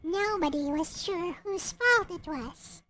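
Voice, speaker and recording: Very high, childlike-pitched woman's voice. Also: animated, slow, pushed and bright, a little hiss or hum. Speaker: visual novel creator and reader. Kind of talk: casual chat and storybook narration.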